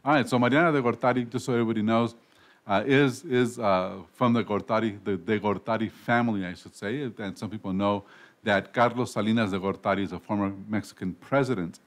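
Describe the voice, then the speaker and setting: A man's voice: lowish voice, fairly even intonation, brisk. A man hosting a casual radio interview show, rambling with frequent restarts and self-corrections. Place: radio studio.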